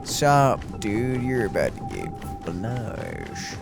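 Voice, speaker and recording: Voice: mid-range voice, huge pitch swings, drawn-out words, vocal fry. A young adult gamer co-commentating a playthrough in casual, joking, sarcastic chat. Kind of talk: casual let's play chat. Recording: game music behind the voice.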